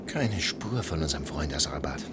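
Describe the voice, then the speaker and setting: A deep-voiced, suave cynical journalist. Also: male voice, expressive, normal speed, heavy background noise. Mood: skeptical, dry. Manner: ironic, teasing, mock-gallant. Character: suave, cynical male journalist chasing money, world-weary adventurer. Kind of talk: German radio play acting, 1980.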